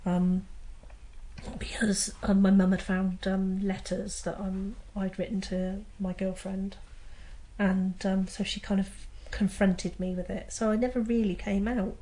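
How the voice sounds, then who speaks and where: A woman's voice: medium pitch, flat delivery, unhurried, warm tone, low-quality recording. A woman in her forties with a southern English accent, speaking hesitantly and with understatement in an interview. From Wiltshire, England. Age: 40s. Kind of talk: documentary interview.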